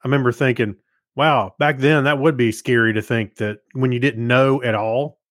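A man's voice: mid-range voice, steady tone, normal speed, fairly bright tone, no room sound, clean studio sound. A man co-hosting a podcast, chatting casually and voicing his own thoughts as he talks. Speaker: podcast co-host. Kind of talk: casual chat.

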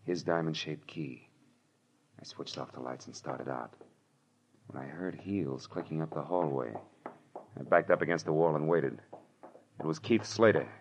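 A man's voice: extremely low pitch, moderate pace, long pauses, slight background noise. An American man playing a world-weary, wry private detective who narrates his case in clipped 1940s radio drama style. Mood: terse, wry. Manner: clipped, wry, hardboiled delivery. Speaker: lead actor and narrator. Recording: dull old radio drama recording, music.

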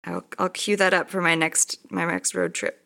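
A woman with a slightly low voice and plenty of vocal fry takes part in a podcast. She speaks casually and conversationally. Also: little pitch movement, normal speed, bright, forceful tone. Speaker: podcast participant. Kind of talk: casual chat.

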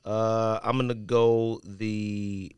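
A man's voice: lowish voice, level tone, very slow, clean tone, no room sound. Man bantering on a dry-sounding podcast. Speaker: podcast host. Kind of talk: casual sneaker podcast banter. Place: podcast studio.

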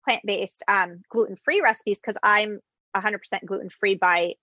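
A woman's voice: mid-range voice, fairly even intonation, normal speed, sharp tone, no echo, highs cut off. A woman hosting a casual interview, chatty, with frequent restarts. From United States, Greek immigrant parents. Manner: chatty, self-correcting restarts. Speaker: interviewer, recipe creator.

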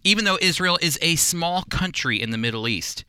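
A man hosts a radio program with a bright, forward tone that carries well. He talks earnestly, stumbling and restarting now and then. His voice is close and dry, with no room sound. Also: on the high side, lively intonation, moderate pace, clean tone, no room sound. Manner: earnest, with frequent restarts and fillers. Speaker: radio show host and teacher. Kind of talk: radio interview and commentary.

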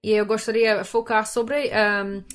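Canadian woman, English native, unhurried halting Portuguese, searches for words, mid-pitched voice, casual guest. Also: little pitch movement. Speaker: podcast guest. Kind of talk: casual chat.

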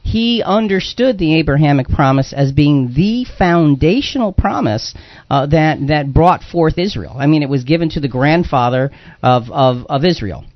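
Dull-sounding, higher-pitched male radio host. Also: animated, normal speed, no room sound. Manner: conversational, explanatory, repeats phrases for emphasis. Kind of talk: live religious talk radio discussion.

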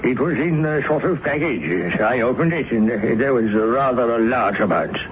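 An actor playing a deferential, flustered manservant, prim and hesitant, stammering politely in a radio drama. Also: male voice, mid-range voice, expressive, moderate pace, lightly acted. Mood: fussy indignation. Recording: dull noisy old radio broadcast.

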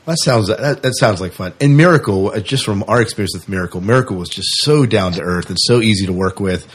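A man's voice: lowish voice, quick pace, husky. A man co-hosting a podcast, chatting in an informal, agreeable way. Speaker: podcast co-host. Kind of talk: casual chat.

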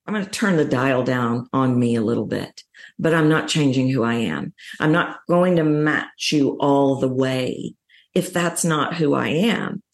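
A middle-aged woman with a low voice and a Texan accent. She speaks as a coach being interviewed, explaining things emphatically in a conversational way. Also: unhurried. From Texas, United States. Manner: emphatic, illustrates points with acted-out quotes. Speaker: voice coach, podcast guest.

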